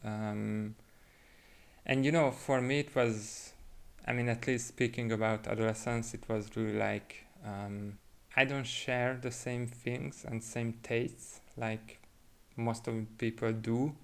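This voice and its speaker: Creaky Hungarian-accented man, halting delivery. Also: medium pitch, steady tone. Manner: halting, thinking aloud. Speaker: podcast guest. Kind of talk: casual reflective chat.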